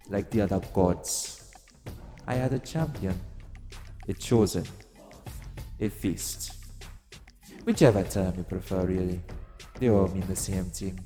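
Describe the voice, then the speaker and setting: A man playing an exiled god of vengeance in an audio roleplay, theatrical and deliberate, coaxing and taunting with dark amusement. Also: low voice. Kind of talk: dramatic audio roleplay monologue to the listener. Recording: long cavernous reverb. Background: music.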